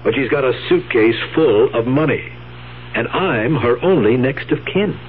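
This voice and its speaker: An actor playing a firm, greedy, scheming husband in a radio drama, insistent and calculating. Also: male voice, medium pitch, animated, unhurried, natural voice. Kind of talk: 1970s radio drama acting. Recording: tinny radio broadcast, faint hum.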